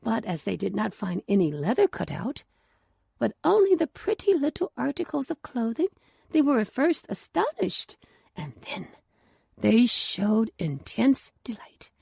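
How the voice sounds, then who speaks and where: A woman reading a scripted children's story as host, in an expressive, deliberate way that drops into hushed tones. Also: on the high side, creaky, slightly rough, dry recording, clean studio sound.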